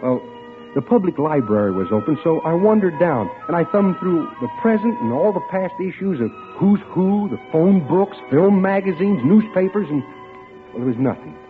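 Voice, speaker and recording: A smitten clerk, tinny old radio. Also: male voice, on the high side, sing-song. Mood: wry, brooding. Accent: American. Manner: wry, musing, dramatic pauses. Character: smitten, lonely young bank clerk narrating his obsession. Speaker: actor narrating a radio drama. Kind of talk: golden-age radio suspense acting, first-person narration. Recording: tinny, band-limited old radio recording with music.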